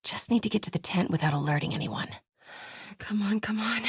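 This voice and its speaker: A woman playing a determined, brave young reporter in a video game, breathy and often hushed. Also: mid-range voice, expressive, fairly quick, no room sound, clean studio sound. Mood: hushed, tense. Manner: hushed, often whispering to herself.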